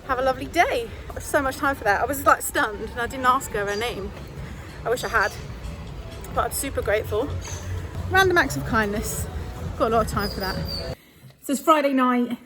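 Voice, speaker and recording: A woman with a British accent, chatting casually and self-mockingly to her phone camera, laughing often, her voice a little croaky and sleepy. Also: fairly high pitch, brisk, long pauses, music in the background. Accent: British English. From England. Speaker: vlogger. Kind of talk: casual vlog chat.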